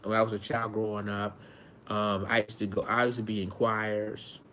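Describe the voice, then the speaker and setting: A man in his forties from Arkansas with a high-pitched voice, talking earnestly to his viewers in a casual monologue, often repeating and restarting his phrases. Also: level tone, moderate pace, a bit bright, slight background noise. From Arkansas, United States. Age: 40s. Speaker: vlogger.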